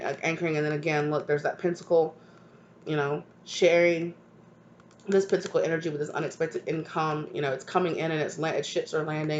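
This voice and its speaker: A woman's voice: low-pitched, lo-fi sound, a little hiss or hum. Stuffed-up woman reading tarot. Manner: affectionate, repeats "right?" and "come on now". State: stuffed up from a cold. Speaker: tarot reader. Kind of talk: channeled tarot reading to viewers.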